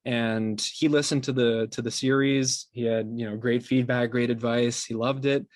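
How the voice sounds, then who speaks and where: An earnest man answers as a guest in an interview. His voice sits at an ordinary male pitch, and he talks in a conversational, appreciative way. The sound is dry, with no room echo. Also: steady tone, moderate pace. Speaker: interview guest, podcast company co-founder.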